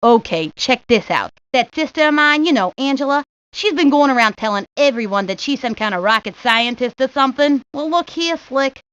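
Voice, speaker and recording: A woman's voice: sits high, animated, normal speed, hardly any pauses, a bit bright, clean tone, no echo. A woman voicing a cocky, streetwise neighborhood boy, talking in brash slang for a cartoon video game. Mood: brash, dismissive. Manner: brash, slangy wise-guy talk. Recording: dull lo-fi 1990s game audio.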